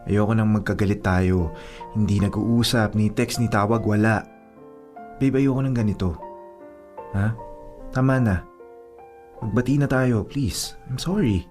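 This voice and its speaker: A man's voice: lowish voice, measured pace, pauses often, darkish tone, lightly acted. A man playing a young boyfriend in a radio drama, pleading and stubborn, with music behind him. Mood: coaxing, apologetic. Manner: coaxing, argumentative. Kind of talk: radio drama acting in Tagalog.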